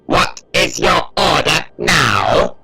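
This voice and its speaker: A man's voice: on the high side, very animated, very slow, over a noisy crowd, full character voice. A man voicing an imperious alien war machine in a sci-fi fan audio drama, barking in staccato through a robotic effect. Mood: curt, obedient. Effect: robotic ring-modulated voice. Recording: echoing, loud music behind. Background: loud dramatic music.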